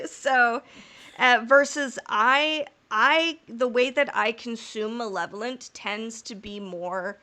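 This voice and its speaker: A woman's voice: on the high side, slow pace, pushed and bright. A woman co-hosting a podcast in casual chat. She talks in long, rambling, candid stretches, swears freely and laughs through her own jokes. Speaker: podcast co-host.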